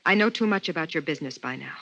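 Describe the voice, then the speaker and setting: A woman's voice: low voice, lively intonation, racing, fairly bright tone, dry recording, heavily acted. An actress playing an anxious, probing wife who tells her story in a 1950s radio drama, earnest and carefully enunciated. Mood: anxious, insistent. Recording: muffled 1950s radio recording with music.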